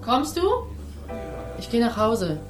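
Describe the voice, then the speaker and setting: A woman's voice: fairly high pitch, very animated, takes it slowly, soft background music. An actress playing an offended young woman in a radio play, curt and dismissive.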